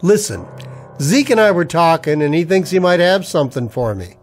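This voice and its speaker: A man's voice: a little high, expressive, normal speed, faint music behind. A man playing a wary, hard-up army-veteran husband in a radio drama, with a sardonic, colloquial delivery. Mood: casual, joking. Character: broke, wary army-veteran husband narrating his noir misadventure. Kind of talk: old-time radio drama acting and first-person narration.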